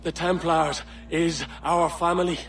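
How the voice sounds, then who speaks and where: An actor playing a bitter, scornful pirate in a video game, speaking in a halting, contemptuous way. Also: male voice, on the high side, little pitch movement, a bit bright. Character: bitter, scornful male pirate, loyal to his brotherhood. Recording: distant from mic, faint noise.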